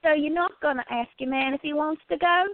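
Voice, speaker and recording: A woman voicing a quiet, curious gallery worker in a radio play, chatty and gently prodding her friend. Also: sits high, fairly quick, no echo, voice pushed up. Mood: curious, gossipy. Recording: tinny, phone-like narrow sound.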